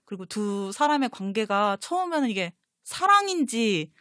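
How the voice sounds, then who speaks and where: Gushing fan girl type woman chatting casually, highish voice, pretty dry sound. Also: sing-song, normal speed, fairly bright tone, no room sound. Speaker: talk show guest, comics publisher designer and voice-drama fan.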